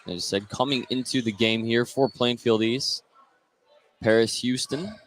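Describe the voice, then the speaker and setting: A man's voice: mid-range voice, unhurried. American man calling basketball, faint gym noise. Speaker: play-by-play announcer. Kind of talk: live basketball radio commentary. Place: indoor high school gymnasium. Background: faint gym ambience.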